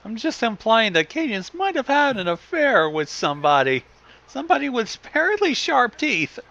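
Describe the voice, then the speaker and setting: A man's voice: very high voice, very animated, moderate pace, vocal fry, sharp tone, dull audio. A man giving casual commentary on a story as it is read, heckling in a sardonic, sweary way.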